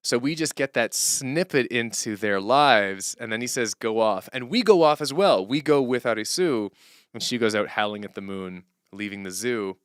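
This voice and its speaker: A man chatting casually and unscripted as a streamer and songwriter, animated and rambling as he thinks aloud and interrupts himself. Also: medium pitch, normal speed, clean tone, dry recording, clean studio sound. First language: English as main language, German heard at home.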